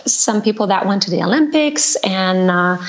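A woman from Quebec with a Québécois French accent, French her first language, chatting as a podcast guest with many pauses and ums. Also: medium pitch, animated. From Quebec, Canada. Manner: hesitant, many ums, warm laughing asides. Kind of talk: casual interview chat.

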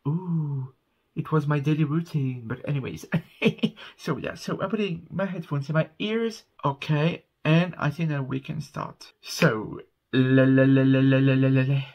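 A man's voice: a little high, unhurried. A young man from France with a French accent, chatting earnestly to camera from his small bedroom about a song he is reacting to. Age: young adult. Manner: chatty and earnest, gets loud and excited over the music. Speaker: music reaction host. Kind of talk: casual reaction chat to camera. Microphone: webcam at desk, wearing earbuds.

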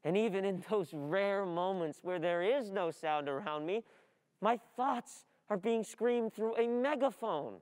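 A woman's voice: medium pitch, measured pace, darkish tone, lightly acted. A young woman acting an anxious, overwhelmed, easily distracted student in an agitated, rambling theatrical monologue. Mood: weary, overwhelmed. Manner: agitated, rambling inner monologue, repeating words. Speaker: actor playing a student. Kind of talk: theatrical radio-play monologue. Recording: long hall reverb of a stage.

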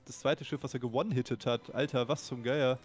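A man's voice: medium pitch, steady tone, a little fast, soft background music. A man chatting casually over a shared strategy game, exasperated and swearing when his ships get hit. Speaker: let's play co-host. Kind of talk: casual gameplay chat.

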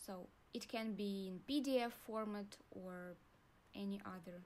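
A young woman with a Russian accent, calmly walking viewers through an online form in a tutorial. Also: mid-range voice. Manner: slow, deliberate, pauses while clicking. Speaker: university staff guide. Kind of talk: screen-recorded tutorial walkthrough.

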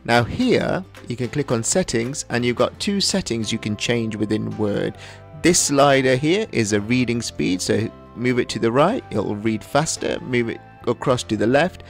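A man narrating a software tutorial in an instructional, step-by-step way. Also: mid-range voice, lively intonation, measured pace, vocal fry, faint music behind. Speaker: tutorial host.

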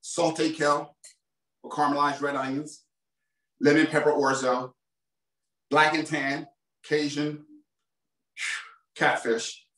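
A man's voice: fairly high pitch, little pitch movement, slow pace, pauses often, a bit bright. A man in his forties, a chef giving a casual, enthusiastic cooking demonstration in a home kitchen and talking straight to his viewers. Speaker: chef presenting a cooking demo. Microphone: far camera mic. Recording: roomy kitchen sound, mic at a distance.

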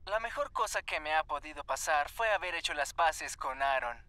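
A man's voice: a little high, expressive, normal speed, dry recording. Easygoing young male heartthrob. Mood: sincere. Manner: easygoing, polite. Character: friendly, laid-back young male celebrity heartthrob. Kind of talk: animated cartoon dub acting. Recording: loud guitar music behind.

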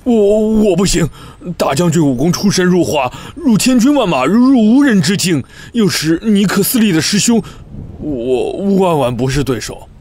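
Timid, easily alarmed court attendant for period radio drama: high, husky, flustered. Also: male voice, big pitch swings, normal speed, darkish tone. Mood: cowed, awed. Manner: flustered, exclaiming. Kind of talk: Chinese costume radio drama acting.

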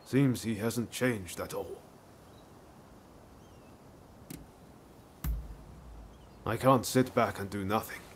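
An actor playing an earnest, loyal young samurai in a video game cutscene, speaking reflectively and with resolve. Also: male voice, on the low side, normal speed, slight background noise, lightly acted. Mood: urgent, resolute.

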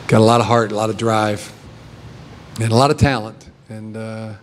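A man's voice: fairly low pitch, level tone, normal speed, a little hiss or hum. A middle-aged man, a head coach, answering press questions with many restarts and fillers at a table mic. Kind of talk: postgame press conference answers. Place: press conference room with backdrop.

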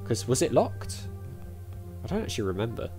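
A man commentates casually over a game, thinking out loud in a chatty way. His mid-pitched voice moves up and down in an animated way, with soft music underneath. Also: moderate pace. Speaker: gaming YouTuber. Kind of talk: casual let's-play commentary.

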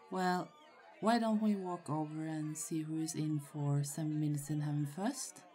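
Slow, low-voiced shy young woman, acted out by a woman. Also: fairly even intonation, warm tone. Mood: amused. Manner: hesitant, halting, giggly. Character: shy, friendly young woman, nursing student with a crush. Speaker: voice actor in roleplay audio. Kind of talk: ASMR roleplay, acted casual conversation. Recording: faint crowd chatter behind. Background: party crowd murmur.

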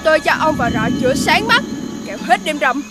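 Very high-pitched crafty orphan boy. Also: very animated, quick pace. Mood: crafty, persuading. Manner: wheedling, crafty, dramatic. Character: cunning orphan village boy, lying trickster, playing pitiful. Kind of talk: animated fairy-tale cartoon acting. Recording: loud music behind the voice. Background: music.

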